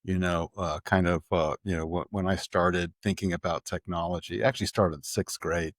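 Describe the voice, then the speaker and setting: A middle-aged man from Puerto Rico, a tech executive guest telling his life story in an animated way, laughing often and repeating words as he starts sentences. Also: low voice, normal speed, dry recording, clean studio sound. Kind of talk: conversational storytelling.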